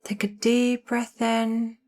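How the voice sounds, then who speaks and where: A woman narrating a guided meditation in a soothing, hushed manner, leaving long pauses between short phrases. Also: on the high side, level tone.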